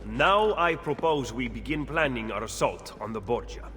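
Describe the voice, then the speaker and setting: A man's voice: mid-range voice, lively intonation, normal speed, bright, forceful tone, soft background music. A male actor playing an earnest, anxious Renaissance Italian strategist in a video game, speaking formally and urgently with an Italian accent. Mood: businesslike. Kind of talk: video game cutscene acting.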